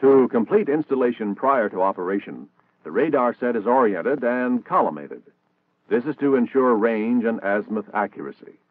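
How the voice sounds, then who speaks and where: A man's voice: mid-range voice, expressive, moderate pace, dry recording. An American man narrating a scripted 1960s military training film in a formal, precise, instructional manner. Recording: dated narrow-band 1960s film soundtrack.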